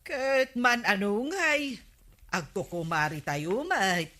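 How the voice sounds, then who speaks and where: A woman's voice: medium pitch, big pitch swings, slow pace, pushed and bright, full character voice. A woman with an Ilocano accent playing a gossipy, vain village wife in a radio comedy drama.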